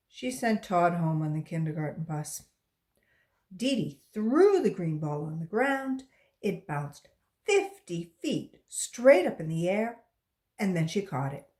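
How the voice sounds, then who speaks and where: A woman reads a children's story aloud in an expressive style. Her voice is high and very animated, and she takes it very slowly. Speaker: volunteer children's story reader.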